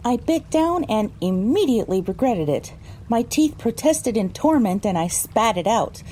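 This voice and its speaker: A woman's voice: a little high, very animated, moderate pace, warm tone, a little hiss or hum, poor audio. A woman voicing a pony who narrates her own story, reading scripted first-person audio drama narration. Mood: measured.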